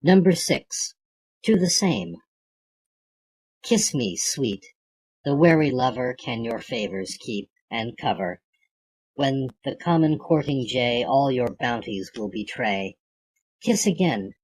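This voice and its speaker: A reader of unclear gender reciting classic poetry for an audiobook in a measured, declamatory way. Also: medium pitch, animated, darkish tone, low-quality recording. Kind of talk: reading classic poetry aloud.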